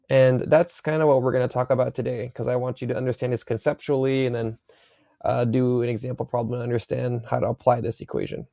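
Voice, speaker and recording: Raspy, gravelly young male lecturer. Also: medium pitch, mellow, no echo. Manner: casual, thinks aloud with many fillers and "right?". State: raspy from late night. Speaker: university engineering instructor. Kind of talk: recorded lecture over slides. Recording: slightly dull dry recording.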